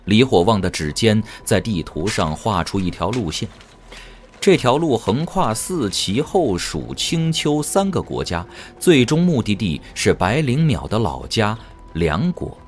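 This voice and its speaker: A man's voice: fairly low pitch, sing-song, measured pace, a little hiss or hum. A man narrating a fantasy audio drama in a dramatic, scripted storytelling style. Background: music.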